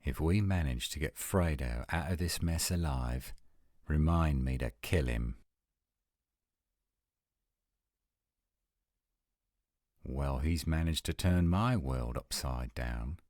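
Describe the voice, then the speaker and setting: A man playing an adult male werewolf lover in a romantic roleplay audio, speaking in an intimate, measured, coaxing and reassuring way. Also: rumbling low, a little mellow, no room sound, studio quality. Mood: earnest. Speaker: voice actor.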